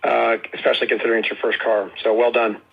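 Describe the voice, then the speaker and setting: A man's voice: medium pitch, little pitch movement, rapid-fire, gravelly, lo-fi sound. A man calling in as a financial analyst, putting questions courteously and conversationally on an earnings call.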